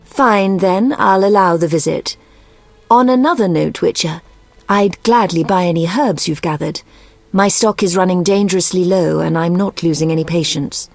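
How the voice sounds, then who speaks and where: An actress playing a stern, motherly priestess healer in a video game, with a raspy, creaky voice. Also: fairly low pitch, normal speed, a little mellow, dry recording, soft background music. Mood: firm, caring.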